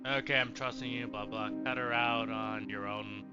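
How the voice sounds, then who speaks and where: A man's voice: on the low side, steady tone, normal speed, lo-fi sound, over loud music. A man casually commentating while playing a horror game, jokey and swearing often. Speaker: let's play streamer.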